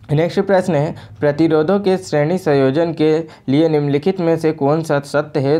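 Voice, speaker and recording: Casting: Indian-accented coaching teacher, mid-pitched, mellow dark tone, explanatory exam read-through. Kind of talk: reading out exam questions and answers, explanatory lesson.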